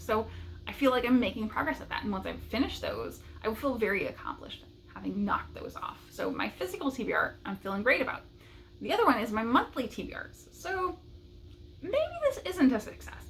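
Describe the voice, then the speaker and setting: A woman's voice: fairly high pitch, a bit bright, slight background noise, poor audio. A woman talking casually and unscripted like a book vlogger, upbeat and a little self-deprecating. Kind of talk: casual unscripted chat to camera.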